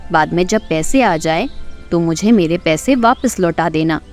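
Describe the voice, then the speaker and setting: A woman narrator voices a generous village friend in a storybook tale, calm and reassuring as he offers advice. Faint music plays underneath. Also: lowish voice, unhurried.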